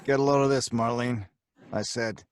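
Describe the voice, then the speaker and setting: A man's voice: mid-range voice, fairly even intonation, moderate pace, natural voice. An actor playing a terse criminal who narrates his own story in laconic, hardboiled radio drama style. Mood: wry, watchful. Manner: laconic, plain-spoken storytelling.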